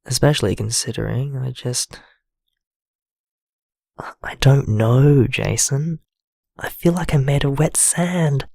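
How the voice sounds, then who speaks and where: Slow, gravelly, hesitant vulnerable man, acted. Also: mid-range voice, warm tone, no echo, clean studio sound. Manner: hesitant, halting, confiding. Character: vulnerable, lonely man named Ricardo, apologetic and grateful. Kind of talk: naturalistic audio drama voicemail monologue.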